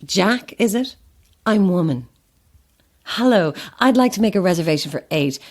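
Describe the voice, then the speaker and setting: A woman's voice: mid-range voice, lively intonation, moderate pace, close to an everyday voice. A woman playing an anxious, self-deprecating actress in an audio drama, chatty and wry with a theatrical streak. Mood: wry, self-mocking. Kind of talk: audio horror-comedy drama acting.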